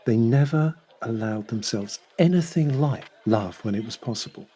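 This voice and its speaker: An actor playing a middle-aged schemer and adulterer in an audio drama, self-assured and measured. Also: male voice, mid-range voice, warm tone, slightly rough, close to an everyday voice. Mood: smug. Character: smooth-talking calculating middle-aged adulterer and sponger.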